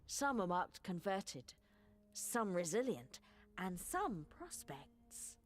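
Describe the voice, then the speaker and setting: A woman playing a sharp-witted, skeptical adventurer in a fantasy video game, dry and observant. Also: on the low side, very animated, slow, exaggerated voice.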